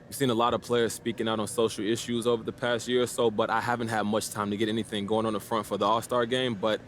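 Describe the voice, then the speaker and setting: A man's voice: fairly low pitch, little pitch movement, quick pace, slight background noise, natural voice. A man playing a young, easygoing but guarded basketball rookie in a video game, answering the press in a casual, hesitant, diplomatic way. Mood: thoughtful, easygoing.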